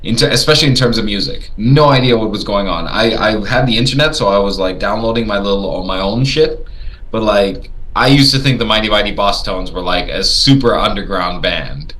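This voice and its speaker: A lower-pitched man from Tanzania talks through a movie in a casual chat, with a bright, forward tone. He stumbles and repeats words, then jumps up in pitch when he gets excited. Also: a little fast, rough recording. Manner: hesitant, repeats words, lively rises in pitch. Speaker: podcast co-host.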